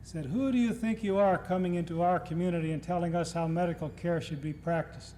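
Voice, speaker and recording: A man's voice: high voice, fairly even intonation, fairly quick, dark tone. Middle-aged man telling a story in a hall. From Nashville, Tennessee. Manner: storytelling, acting out quoted speakers. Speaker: guest lecturer. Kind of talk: humorous anecdotal lecture. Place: lecture hall before an audience. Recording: reverberant hall, faint noise, old tape.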